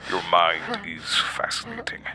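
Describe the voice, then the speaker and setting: An actor playing a sadistic, gloating villain in a horror audio drama, slow, condescending and mock-gentle with menace. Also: male voice, extremely low pitch, completely flat delivery, crowd noise. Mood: menacing, fascinated. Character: sadistic, gloating male villain, coldly amused and controlling.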